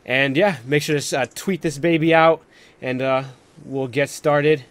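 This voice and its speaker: A man's voice: on the high side, steady tone, unhurried, dry recording. A man hosting a casual live stream chat, talking in a rambling, filler-heavy way with lots of "like". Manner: rambling, peppered with "like". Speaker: host of a live stream panel.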